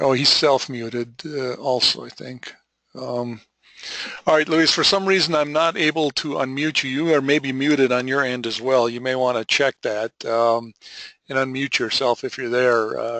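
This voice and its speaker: A man's voice: mid-range voice, vocal fry, a bit bright, no echo. A man moderating an online session informally as its host. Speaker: webinar host.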